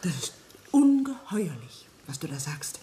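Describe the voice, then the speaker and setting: A distraught wife, animated intonation. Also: female voice, on the low side, a little hiss or hum. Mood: horrified. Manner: halting, emotional, dramatic. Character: horrified, evasive wife of a tycoon, cornered and distraught. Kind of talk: German radio play acting.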